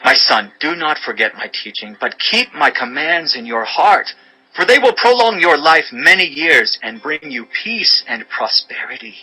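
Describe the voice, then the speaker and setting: Forceful, husky man reading scripture. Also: a little high, big pitch swings, moderate pace, rough recording. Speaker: audio Bible narrator. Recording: background music under voice.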